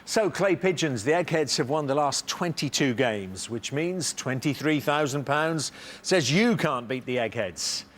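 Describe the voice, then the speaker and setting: A man's voice: medium pitch, animated, measured pace, few pauses, fairly bright tone, slight background noise. A man hosting a television quiz show, upbeat and teasing, reading questions and introducing teams.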